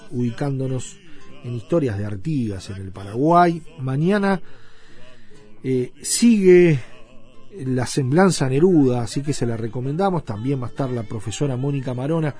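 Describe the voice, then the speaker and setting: A man hosting a radio show, speaking casually and a bit haltingly. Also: medium pitch, mellow. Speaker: radio host.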